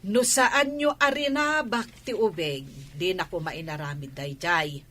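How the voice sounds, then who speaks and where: A woman's voice: fairly low pitch, unhurried, sharp tone. A woman acting an exasperated village grandmother in an Ilocano comedy radio drama, chiding and firm, with an Ilocano accent. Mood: stern, scolding.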